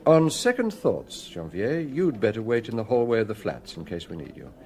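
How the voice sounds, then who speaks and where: An actor playing a shrewd, genial police chief in a British radio crime drama, brisk, commanding and probing. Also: male voice, on the low side, big pitch swings, darkish tone.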